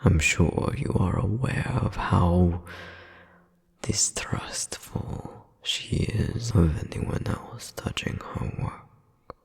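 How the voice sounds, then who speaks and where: A man playing a cold, menacing royal demon brother in an audio roleplay, haughty and deliberate, with a breathy, husky voice. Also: low-pitched, lively intonation, whispered, exaggerated voice. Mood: cold contempt. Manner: haughty, slow, deliberate, veiled threats. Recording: long reverberant hall echo.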